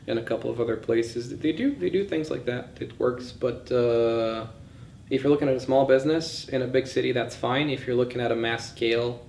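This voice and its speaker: A man lectures informally in a medium-pitched voice, offering firm opinions as he thinks aloud. The room is echoey, with faint noise behind him. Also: fairly quick, vocal fry, a little mellow. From born in Bulgaria, grew up in several countries. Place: indoors in a session room.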